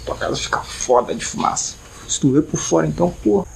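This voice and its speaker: Gravelly young Brazilian man vlogging. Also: fairly high pitch, fairly quick, heavy background noise. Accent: Brazilian Portuguese. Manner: slangy, laid-back, joking. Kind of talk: casual home vlog chat. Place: at home.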